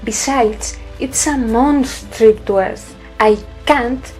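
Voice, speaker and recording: A woman acting in a sci-fi audio drama as a resolute, stubborn pregnant pilot, speaking calmly and firmly. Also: on the high side, soft background music, rough recording. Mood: resolute, reasoning. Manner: deliberate, firm.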